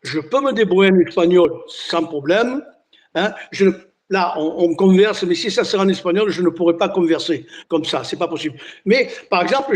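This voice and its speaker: An older man born near Naples whose first language is Italian, a singer telling stories in a relaxed interview, with many restarts. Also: high-pitched. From Italy. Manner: chatty storyteller, many restarts and "hein". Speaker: singer and entertainer, guest. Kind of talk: casual interview chat.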